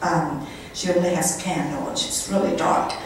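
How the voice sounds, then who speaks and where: A woman's voice: low voice, moderate pace. A middle-aged woman delivers a storytelling monologue in a conversational way, voicing bits of dialogue as she goes. She speaks into a handheld mic in a function room, heard from a distance with heavy echo and faint noise. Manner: conversational, animated retelling with quoted dialogue. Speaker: guest speaker at a charity event. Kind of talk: storytelling monologue to a live audience. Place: indoor function room at a country club. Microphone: handheld microphone, picked up by a far camera.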